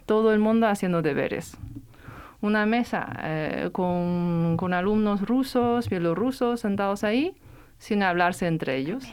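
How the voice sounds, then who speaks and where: Hesitant woman guest with a smooth voice. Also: medium pitch. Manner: hesitant, many restarts and fillers. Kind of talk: interview answer, personal story.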